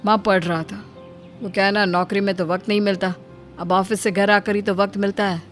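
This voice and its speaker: A woman's voice: medium pitch, level tone, fairly quick, soft background music, close to an everyday voice. A woman voicing a dutiful working son of about thirty in a cartoon, who talks things through patiently. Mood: caring. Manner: patient, reasoning. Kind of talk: cartoon voice acting.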